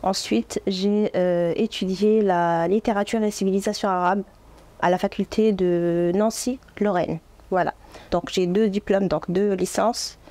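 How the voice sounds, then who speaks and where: Gentle woman teacher. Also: medium pitch, fairly even intonation, unhurried, dry recording. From Morocco. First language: Arabic. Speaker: Arabic teacher. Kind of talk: interview answers.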